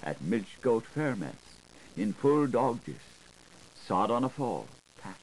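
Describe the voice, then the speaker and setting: Male audiobook narrator, theatrical and deliberate, very animated intonation, unhurried pace, playful incantatory delivery, 1980s recording. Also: medium pitch, vocal fry, husky, low-quality recording. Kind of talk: literary audiobook reading, theatrical.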